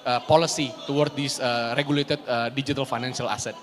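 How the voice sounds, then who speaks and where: A man's voice: mid-range voice, fairly even intonation, fast. Man on a panel in an echoing hall. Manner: thinks aloud, many fillers and restarts, asks "right?" often. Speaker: co-CEO, panelist. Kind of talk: panel discussion. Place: conference stage at a live event. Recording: reverberant live conference hall.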